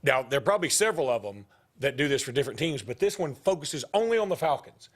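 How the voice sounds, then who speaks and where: A middle-aged man, hosting a sports talk show and rambling through stories in casual chat. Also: fast talker. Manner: rambling storytelling, jumps in pitch when animated.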